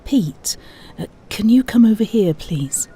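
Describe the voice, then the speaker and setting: A woman playing a sombre, caring grandmother in an audio drama, grave and measured, with faint noise behind her. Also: medium pitch, animated, warm tone, breathy edge.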